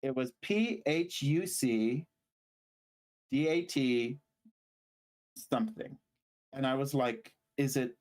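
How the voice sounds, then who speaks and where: Male podcast co-host, from Zimbabwe, mid-pitched, casual storytelling, halting and rambling delivery. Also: a little mellow.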